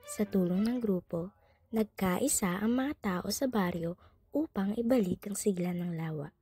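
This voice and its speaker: A woman's voice: medium pitch, measured pace, dark tone, husky, no echo, faint music behind. A woman narrating a radio drama from a script as a storyteller.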